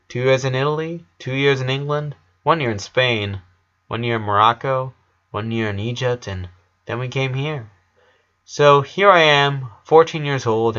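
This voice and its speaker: A man's voice: medium pitch, a bit bright, highs cut off, lightly acted. A man voicing a weary, friendly fourteen-year-old boy in an audiobook story, chatty and hesitant with a wistful manner.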